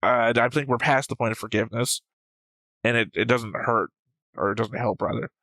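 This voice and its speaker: Gravelly man in casual discussion. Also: mid-range voice, moderate pace, sharp tone, no echo. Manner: rambling, freely swearing. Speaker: reaction show host. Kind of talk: casual discussion of an animated series.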